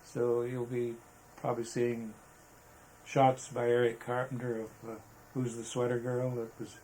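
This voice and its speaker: An older American man reminiscing casually in an interview, halting and rambling as he talks. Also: mid-range voice, monotone, dark tone, a little hiss or hum, rough recording. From Arizona, United States. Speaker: interviewee, veteran photographer. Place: indoors, photographer's studio.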